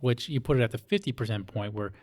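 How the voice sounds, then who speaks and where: An American man with a mid-pitched voice. He talks casually as a guest in conversation, putting his points bluntly and colloquially. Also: fast talker, a little mellow. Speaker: interview guest.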